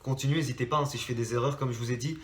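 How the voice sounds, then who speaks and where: A man's voice: mid-range voice, fairly even intonation, racing, reverberant. A young Frenchman hosting a drawing channel, talking casually to his subscribers with a stuffy nose from a cold and lots of hesitant restarts. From France. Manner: rambling, many hesitations and restarts.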